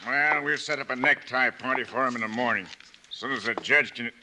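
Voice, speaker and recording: An actor playing a crooked, shifty, middle-aged sheriff who blusters and dodges questions in a 1950s radio western. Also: male voice, mid-range voice, a little fast, background noise, lightly acted. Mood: scheming. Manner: blustery, evasive.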